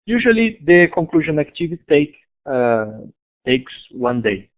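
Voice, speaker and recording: Brazilian guy talking about his school, halting english, lots of restarts, tinny web call sound. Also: fairly high pitch, very animated. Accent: Brazilian Portuguese. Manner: hesitant, halting non-native English with many restarts. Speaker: guest speaker, school founder. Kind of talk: online conference presentation. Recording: tinny online call audio.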